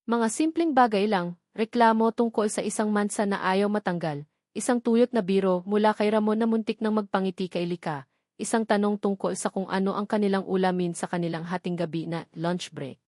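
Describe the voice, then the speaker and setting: A woman's voice: mid-range voice, brisk, a little mellow, dry recording, studio quality. A woman reading a scripted love story as a dramatic narrator and storyteller.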